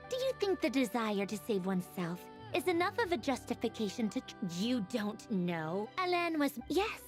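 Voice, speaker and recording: An actress playing a haughty, witty woman inventor in a video game, guarded yet wistful, speaking in a wry, articulate way. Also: on the high side. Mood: defensive, brisk. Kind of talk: scripted video game story dialogue.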